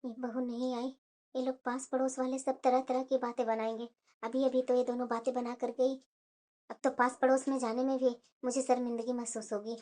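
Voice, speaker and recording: A woman's voice: fairly high pitch, level tone, fairly quick, dark tone, lightly acted. A woman voicing a middle-aged mother-in-law in a family cartoon, patient and placating, with a plaintive undertone. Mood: quietly worried. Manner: coaxing, plaintive. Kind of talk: cartoon family drama dialogue.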